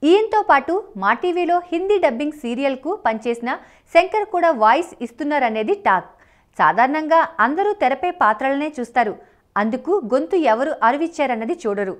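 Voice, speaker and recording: Brief: female news voice-over, high pitch, steady scripted read. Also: a little fast. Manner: even, reading a prepared script. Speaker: entertainment news narrator.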